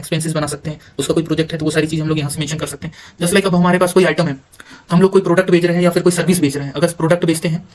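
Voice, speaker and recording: An Indian man giving an informal software walkthrough, halting at times and mixing English terms into his speech. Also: fairly high pitch. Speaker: software demonstrator. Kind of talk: informal screen-recorded tutorial walkthrough.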